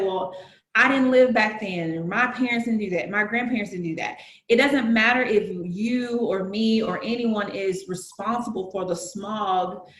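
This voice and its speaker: A woman's voice: medium pitch, a bit bright, echoey room, a little hiss or hum. A woman with a Southern US accent in casual conversation, earnestly explaining her points with analogies and frequent fillers. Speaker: guest.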